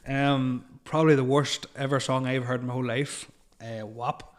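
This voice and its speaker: A man's voice: medium pitch, steady tone, normal speed. A man hosting a casual banter podcast, rambling and crude, laughing often. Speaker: podcast host.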